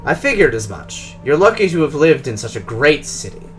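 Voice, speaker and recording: Man doing Russian-accented drone engineer, formal and guarded, lively rising and falling pitch, soft music behind. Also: lightly acted. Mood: measured. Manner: formal, measured, precise.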